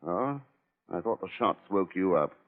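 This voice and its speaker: An actor playing a cool, tough secret agent in a 1940s radio drama, dry and commanding. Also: male voice, low voice, animated, moderate pace. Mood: wry control. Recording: tinny phone-like old radio recording.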